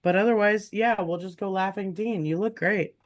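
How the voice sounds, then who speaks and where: A player chatting casually with friends, quick with jokes and laughing often. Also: medium pitch. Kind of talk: casual chat.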